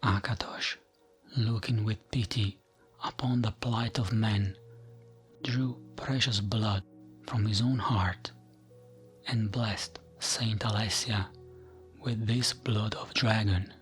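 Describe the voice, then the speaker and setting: Slow, whispery man reading ASMR lore. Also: on the low side, fairly even intonation, faint music behind. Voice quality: soft, breathy, often whispered. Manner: gentle, soothing, unhurried, with frequent pauses. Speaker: ASMR gaming channel host. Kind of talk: ASMR reading of fantasy game lore as a bedtime tale.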